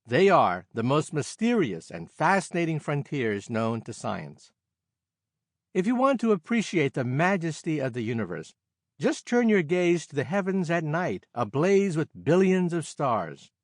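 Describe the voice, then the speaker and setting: A man narrating a nonfiction science audiobook in a scripted, measured and expressive reading style, emphasizing key phrases. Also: on the high side, no room sound, clean studio sound. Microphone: close studio microphone, dry sound.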